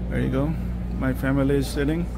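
A man's voice: medium pitch, fairly even intonation, a little fast, creaky. A man filming a casual travel food vlog with a handheld camera, walking through restaurants and praising the food in simple, friendly words. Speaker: travel vlogger. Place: restaurants and streets, indoors and outdoors. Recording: noisy handheld camera audio.

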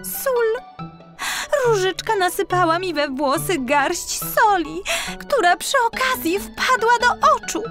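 A woman's voice: very high voice, animated, slow pace, pushed and bright, soft background music, voice pushed up, heavily acted. A woman voicing a plaintive, tearful young lady-in-waiting in a children's storybook. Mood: tearful, aggrieved. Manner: whiny, plaintive.

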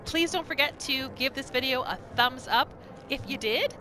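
A woman hosting roleplay game commentary, narrating her character in the third person in an expressive, dramatizing way. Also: high voice, moderate pace. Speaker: gaming video host.

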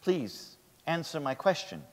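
A big reverberant stage holds a man acting as an insistent defence lawyer with a high male voice. He cross-examines formally and sceptically, pressing for answers. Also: very animated, a little slow. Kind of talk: dramatic stage reading. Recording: echoey theatre stage, long reverb.

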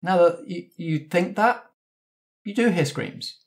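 A man's voice: high-pitched, unhurried. A man acting as game master, narrating scenes and rules in a conversational, descriptive way. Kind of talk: narrating a tabletop roleplaying game.

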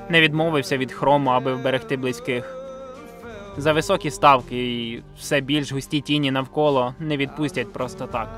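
A man's voice: medium pitch, a bit bright. A man narrating a scripted video essay in a reflective, sentimental way, with casual slang and some swearing. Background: music behind the voice.

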